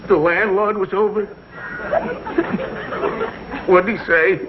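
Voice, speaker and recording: An actor playing a hard-luck, slyly hinting telegram messenger with a hesitant, plaintive manner in a vintage broadcast comedy. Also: male voice, high voice, steady tone, slow, a loud crowd. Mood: woeful. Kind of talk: 1960s broadcast comedy acting. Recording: dull vintage broadcast, loud audience, heavy noise.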